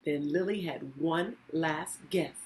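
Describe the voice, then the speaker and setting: A woman narrating a children's story as a reading teacher, deliberate and theatrical in her phrasing. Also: deep voice, a little mellow. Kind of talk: expressive children's read-aloud narration.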